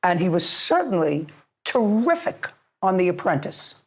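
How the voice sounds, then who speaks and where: A woman with a husky voice giving measured answers in an interview. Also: fairly low pitch, sing-song.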